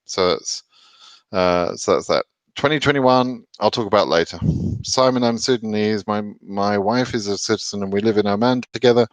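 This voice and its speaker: A man's voice: on the low side, moderate pace, dry recording. A British man hosting a live question and answer stream, reading viewer questions aloud and answering them casually and frankly, with many ums and restarts. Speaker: immigration advice host answering live chat questions.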